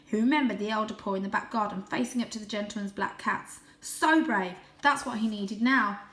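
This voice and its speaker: Bright-voiced woman reading dramatically, roomy sound. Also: mid-range voice, a little fast. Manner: animated, suspenseful, with gasped asides. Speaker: storyteller reading a children's book aloud. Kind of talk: dramatic, expressive audiobook-style story narration.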